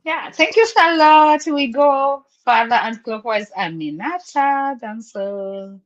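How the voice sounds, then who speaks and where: A woman's voice: sits high, animated, takes it slowly, dull audio. A Ugandan woman hosting a live video-call business chat, welcoming the viewers and speaking in a persuasive, preaching way. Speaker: host.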